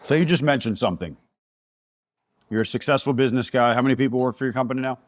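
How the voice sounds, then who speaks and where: Blunt New Jersey podcast host. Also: male voice, medium pitch, fast talker, no echo. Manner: blunt, profane, prompting questions. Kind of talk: casual interview chat. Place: pizzeria.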